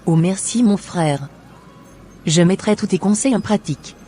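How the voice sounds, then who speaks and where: Man acting high-voiced earnest churchwoman. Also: measured pace, mellow, a little hiss or hum. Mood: guilty, pleading. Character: devout, soft-spoken married churchwoman, humble and earnest.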